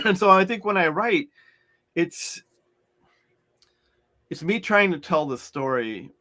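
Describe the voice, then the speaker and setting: A man's voice: sits high, big pitch swings, takes it slowly, sharp tone. A middle-aged man, an author, talking in a loose, rambling way with many restarts as an interview guest. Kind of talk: conversational interview.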